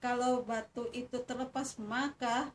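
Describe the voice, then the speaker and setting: A woman's voice: on the high side, fairly even intonation, measured pace, lightly acted. A woman voicing a sly, scheming turtle in a children's fable, coaxing and exclamatory with false cheer. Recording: distant mic, faint noise.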